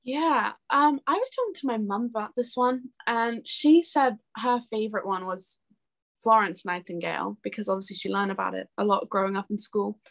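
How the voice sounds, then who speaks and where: Young female, informal interview guest, chatty and giggly delivery, mid-range pitch. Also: moderate pace, gravelly. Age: young adult. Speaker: interview guest, singer-songwriter. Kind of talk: casual chat.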